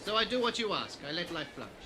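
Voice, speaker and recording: A jovial baron who brags and banters his way through an audio drama. His voice is very high and piercingly bright, with quirky foreign-style broken phrasing. A faint noise sits behind him. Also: big pitch swings, fast, exaggerated voice. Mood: smooth, defensive. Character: jovial, boastful male baron running a beach paradise.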